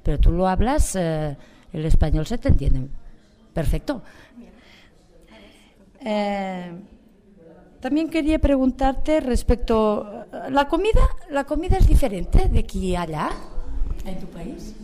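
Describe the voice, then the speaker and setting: Mellow-voiced woman interviewer, hesitant. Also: on the high side, big pitch swings, a little slow, pauses often. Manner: hesitant, many fillers and restarts. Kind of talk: casual interview.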